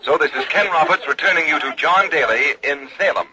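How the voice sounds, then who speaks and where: An actor playing a sympathetic radio interviewer, gently questioning in a 1940s broadcast style. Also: male voice, fairly high pitch, a little fast, natural voice. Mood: gentle, sober. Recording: very noisy old radio recording.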